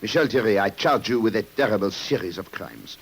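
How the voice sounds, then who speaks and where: An actor playing a stern, commanding French police commissioner in a formal 1950s radio drama style. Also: male voice, lowish voice, expressive, fairly quick, fairly bright tone. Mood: accusing. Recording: hissy old radio recording.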